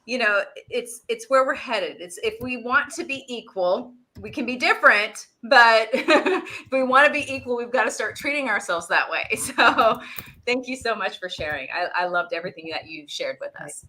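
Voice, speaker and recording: Bright-voiced woman thanking the panel. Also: on the high side, expressive, normal speed. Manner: warm, grateful, laughs softly between points. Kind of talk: appreciative panel response.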